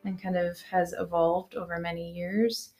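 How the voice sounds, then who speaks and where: A woman talking as a guest musician in a casual interview, relaxed and quick to laugh as she explains. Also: on the low side, soft background music.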